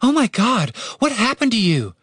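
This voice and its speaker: A woman playing a blunt, sharp-tongued young woman who scolds casually in a fantasy audiobook. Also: deep voice, wildly swooping pitch, fairly quick, no echo, clean studio sound, pitched above the performer's own voice, full character voice. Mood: startled.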